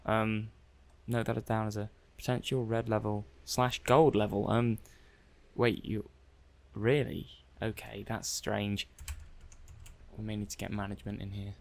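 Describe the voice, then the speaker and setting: Man playing a gravelly, hesitant robot tester. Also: lowish voice, level tone. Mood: bewildered. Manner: hesitant, halting, musing aloud. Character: hesitant, curious male robot technician running software tests. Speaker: audio roleplay performer. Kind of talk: soft-paced ASMR roleplay monologue.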